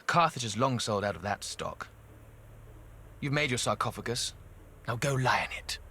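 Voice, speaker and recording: An actor playing an aristocratic ancient mummy in a video game, arch, witty and disdainful. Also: male voice, mid-range voice, fairly bright tone, slight background noise. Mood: haughty, cold. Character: aristocratic, sardonic, haughty ancient mummy dealmaker. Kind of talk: theatrical video game dialogue.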